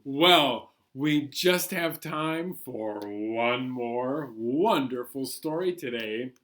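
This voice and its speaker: Slow-paced man reading aloud to children. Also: mid-range voice, animated, fairly bright tone. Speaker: children's storytime host at a library. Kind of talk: picture book read-aloud and singalong for young children.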